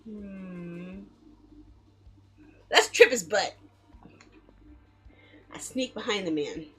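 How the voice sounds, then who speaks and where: A woman streaming a game in a casual, expressive, chatty way, reading story text aloud and reacting to it. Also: medium pitch, very slow. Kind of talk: casual live let's play, reading visual novel text aloud. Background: background music.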